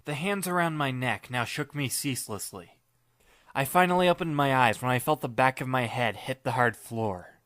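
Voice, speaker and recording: A man narrating a fan audiobook in the first person as a wry, laid-back high school student, deadpan and musing. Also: normal speed, no echo, clean studio sound, close to an everyday voice. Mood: dry narration. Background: music, plucked guitar.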